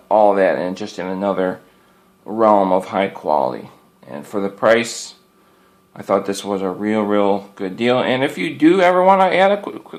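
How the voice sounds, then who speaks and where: A man giving a casual, unscripted product review in an informal, folksy, rambling way, often repeating his words. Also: lowish voice.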